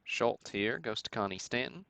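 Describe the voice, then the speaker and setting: A man hosting a live stream, giving matter-of-fact commentary in a halting way with pauses. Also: mid-range voice, animated, bright, forceful tone, clean tone, no echo. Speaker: host of a sports card break stream. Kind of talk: live show-and-tell commentary on vintage cards.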